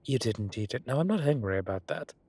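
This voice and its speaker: A man playing a suave, gossip-loving gentleman boss in an intimate audio roleplay, arch and playfully dramatic, with breathy, drawling delivery. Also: mid-range voice, animated, fairly quick, no echo. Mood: playful prodding. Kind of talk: scripted intimate roleplay acting.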